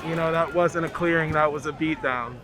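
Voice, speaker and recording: A hoarse man speaks in a fairly high voice, earnestly recounting events. He is being interviewed outdoors on a city street. Music plays softly under the clip. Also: fairly even intonation, brisk. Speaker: protester. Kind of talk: interview testimony.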